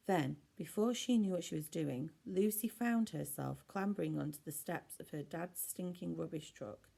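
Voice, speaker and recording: A woman's voice: fairly low pitch, normal speed, gravelly, warm tone. A woman reading a children's storybook aloud expressively, as a teacher does for a class.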